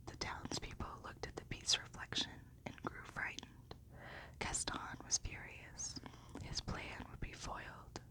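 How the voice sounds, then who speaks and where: A woman reading a storybook in a gentle, unhurried, breathy whisper in an ASMR style. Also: poor audio.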